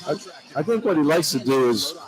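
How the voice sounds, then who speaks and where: A man chatting as a sports radio analyst, thinking aloud in a halting, repetitive way with casual phrasing. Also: a little high, sing-song, faint music behind. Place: arena, during pregame.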